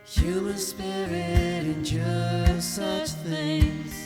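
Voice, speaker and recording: A woman's voice: medium pitch, animated, extremely slow. A woman singing folk Americana live, accompanied by guitar. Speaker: folk singer. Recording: live hall reverb under guitar. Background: plucked acoustic guitar.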